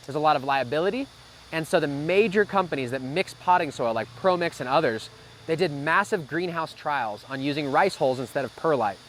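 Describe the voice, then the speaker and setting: A man's voice: fairly high pitch, fairly bright tone, a little hiss or hum. A man co-hosting a casual Q&A, explaining growing practices in a practical, folksy way.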